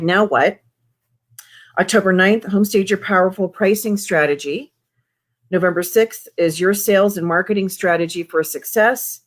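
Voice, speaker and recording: A woman hosting a live show, going over announcements in a chatty, encouraging way. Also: on the low side. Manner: chatty and encouraging, many fillers. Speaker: host.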